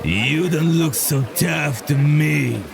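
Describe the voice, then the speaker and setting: A man's voice: fairly high pitch, vocal fry, a crowd in the background, full character voice. A man playing a hulking, cocky fish-man warrior who jeers and taunts in an audio drama. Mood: jeering. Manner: taunting, slow swagger.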